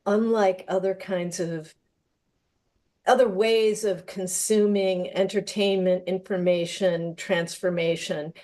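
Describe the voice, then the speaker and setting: A woman's voice: on the low side, dark tone. A woman moderating a panel conversation, choosing her words carefully and somewhat tentatively as she frames her questions. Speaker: moderator, theater maker. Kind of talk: panel discussion, posing a question.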